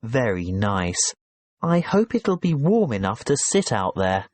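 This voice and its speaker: A man playing a polite businessman checking into a hotel in a scripted listening-test dialogue, courteous and clearly spoken. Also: on the low side, big pitch swings, measured pace, a little mellow, dry recording. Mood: pleasantly interested.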